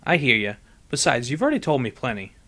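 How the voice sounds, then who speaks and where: A man's voice: medium pitch, very animated, fast talker, fairly bright tone. A man voicing a brash, boastful young female pegasus pony in a storybook reading, cocky and emphatic. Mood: cocky, casual. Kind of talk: fan fiction audiobook character voice.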